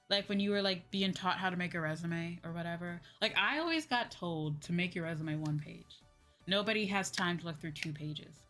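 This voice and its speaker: Female streamer, lowish voice, informal rambling chat over clear background music. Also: fairly bright tone. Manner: informal, conversational, frequent "like" and "you know". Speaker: VTuber livestream host. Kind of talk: casual livestream chat with viewers.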